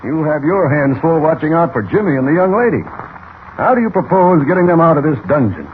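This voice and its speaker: An actor playing a stoic, defiant, gruff police commissioner in a 1940s radio serial. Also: male voice, a little high, big pitch swings, a little fast. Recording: tinny old radio transcription, some noise.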